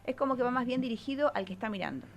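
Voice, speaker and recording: A woman's voice: fairly high pitch, expressive, very fast. Argentine woman explaining, faint noise behind. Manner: frequent check-in tag questions. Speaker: psychologist columnist on radio. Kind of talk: explanatory radio talk.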